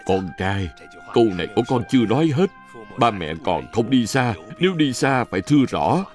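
A man playing a gentle, earnest family man in a dubbed children's cartoon. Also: medium pitch, sing-song, normal speed, soft background music, close to an everyday voice. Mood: gently instructive.

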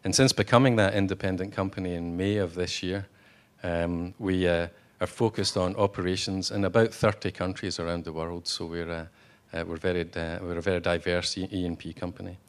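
A man giving a conference speech as a company executive, halting, with many fillers and restarts. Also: low-pitched, steady tone. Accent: non-Texan. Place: hotel conference room.

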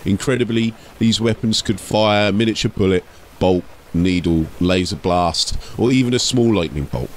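A man with a lowish voice delivers scripted narration in the role of an audiobook storyteller. His reading is that of a channel host presenting a tale. There is slight noise behind his voice. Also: moderate pace.

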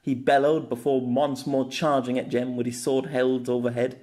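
A man's voice: medium pitch, level tone, moderate pace, a little mellow. A man reading a scripted fantasy story aloud as an audiobook narrator.

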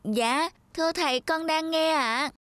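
A woman's voice: sits high, a little slow, fairly bright tone, dry recording. A woman voicing an eager, easily scared little boy novice monk with a childlike, pleading manner in a cartoon fairy tale. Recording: music behind the voice.

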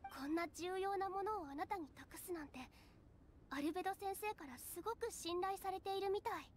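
A woman voicing a shy, earnest young female game alchemist, soft and girlish, stammering and precise in her wording. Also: very high voice, moderate pace, slight background noise. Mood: earnest, fascinated. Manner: hesitant stammering, formal and precise. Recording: game music behind the voice. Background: game music.

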